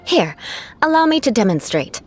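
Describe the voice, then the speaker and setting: A young aristocratic lady knight for a video game, proud and vengeful yet composed. Her pitch swings expressively as she lectures in formal, measured phrases. Soft music plays behind her. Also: very animated. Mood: poised, instructive. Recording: background music under the voice.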